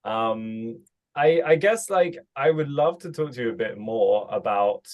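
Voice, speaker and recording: A man's voice: mid-range voice, lively intonation, unhurried. A man hosting a podcast, speaking in a friendly, hesitant way with many restarts. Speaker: podcast host. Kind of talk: podcast hosting, casual interview.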